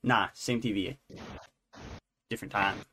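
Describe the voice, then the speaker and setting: A man co-hosting a podcast in casual, unscripted recap chat, halting and often restarting his sentences. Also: on the low side, fairly even intonation, background noise. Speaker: podcast co-host.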